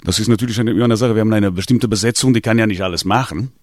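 A man's voice: fairly low pitch, very fast, dry recording, studio quality. A man, a singer in a band, answering radio interview questions hesitantly with many restarts. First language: Portuguese. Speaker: singer in a latin music band.

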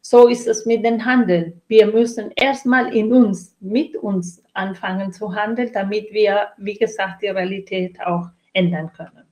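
A woman's voice: mid-range voice, fairly even intonation, unhurried, hardly any pauses, a little mellow, rough recording. Earnest middle-aged woman, Latin American accent. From Chile and Brazil. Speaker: interview guest, trainer and coach. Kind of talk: reflective interview answer about own life and work.

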